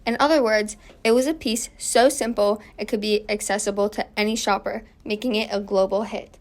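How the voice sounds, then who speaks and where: Woman playing an earnest 1920s suffragist guest, mid-pitched. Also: fairly even intonation, a little fast, lightly acted. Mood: earnest, pleased. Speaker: guest activist. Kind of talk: scripted amateur 1920s radio interview.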